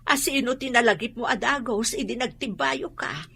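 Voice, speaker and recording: A woman's voice: a little high, lively intonation, normal speed, pushed and bright, slightly rough, full character voice. A woman with an Ilocano accent playing a worried, motherly older woman sheltering from war in a radio drama. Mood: gently probing. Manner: fretting, exclamatory.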